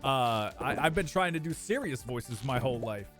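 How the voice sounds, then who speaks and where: Young man, casual stream chat, lively up-and-down pitch, faint music underneath. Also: moderate pace. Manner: animated, swings into high laughing squeaks.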